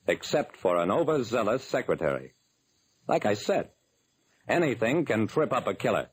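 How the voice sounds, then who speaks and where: An actor playing a hard-boiled detective who recounts a case in terse, dramatic old-time radio narration. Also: male voice, fairly low pitch, breathy edge, full character voice. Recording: vintage radio broadcast recording.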